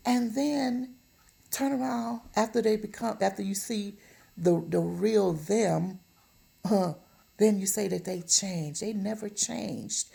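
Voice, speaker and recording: Gravelly, mellow-voiced woman giving blunt advice. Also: medium pitch. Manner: emphatic, blunt, admonishing, repeats phrases. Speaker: relationship advice speaker. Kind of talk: informal relationship advice monologue. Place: at home.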